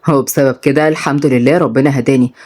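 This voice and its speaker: A woman doing a gruff, low young Egyptian tough guy. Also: fairly even intonation, fast, darkish tone. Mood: tender. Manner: gruff, threatening, then tender. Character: young man, reformed tough guy, hot-tempered.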